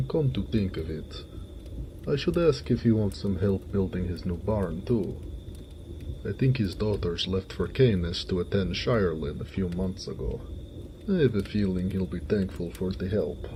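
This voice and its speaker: A man voicing a gentle, hardworking orc blacksmith in an audio roleplay, weary and good-natured, musing to himself in a homely way. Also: low-pitched, background noise, lightly acted.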